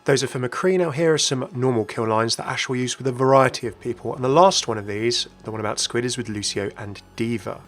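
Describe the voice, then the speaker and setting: A man's voice: medium pitch, a little fast, hardly any pauses, fairly bright tone. A man presenting a chatty, inquisitive explainer as a gaming video host, scripted with casual asides. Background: faint background music.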